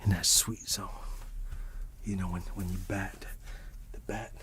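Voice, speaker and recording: A man giving an encouraging, rambling ASMR monologue that slips between a breathy whisper and soft speech, often repeating himself. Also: low voice, flat delivery, measured pace, slight background noise. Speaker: ASMR channel host.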